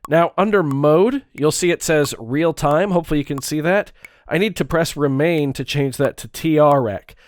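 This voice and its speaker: A man with a stuffed-up nose, talking through a relaxed, chatty walkthrough of his music gear. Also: fairly high pitch, no echo. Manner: relaxed, chatty, with fillers and small restarts. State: stuffed up, congested. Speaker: music gear video creator. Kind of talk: casual tutorial walkthrough.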